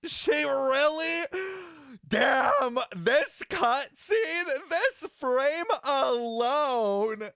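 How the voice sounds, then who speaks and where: A man giving casual, rambling reaction commentary in a chatty, slangy, excitable way. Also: very high voice, very slow. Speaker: reaction streamer.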